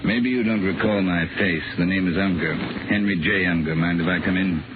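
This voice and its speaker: A very low-voiced criminal, played by a male actor in a vintage radio thriller. He is smoothly polite and quietly threatening, heard through a tinny, noisy old recording. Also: fairly quick, close to an everyday voice. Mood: mock-polite menace. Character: menacing, mock-polite male criminal, an ex-convict. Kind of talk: 1950 radio crime drama acting.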